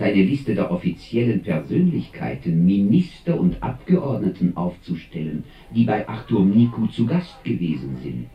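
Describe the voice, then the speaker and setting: An accusing orator in a 1950s radio drama. His delivery is emphatic and deliberate, with wide swings of pitch. The sound is dull and echoey, as from a distance. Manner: rhetorical, emphatic, deliberate questioning. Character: accusing male public voice reading a scandal indictment.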